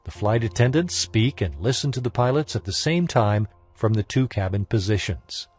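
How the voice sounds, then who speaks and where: A man reading a scripted technical training lesson in a formal, measured, instructional manner. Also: mid-range voice, a little mellow, husky. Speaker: instructor narrator.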